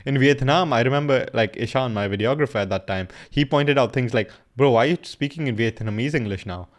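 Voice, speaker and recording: A man's voice: medium pitch, fairly quick. A young man in casual commentary, coaching viewers through a recorded sales call in a loose, slangy way.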